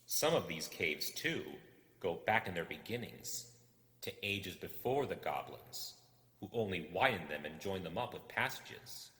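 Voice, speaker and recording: A man with a low voice narrates a dark tale theatrically. His intonation swoops widely, with deliberate, suspenseful pauses. Everything sits in long, echoing reverb. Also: lively intonation. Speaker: storybook reader. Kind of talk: dramatic, suspenseful scripted narration.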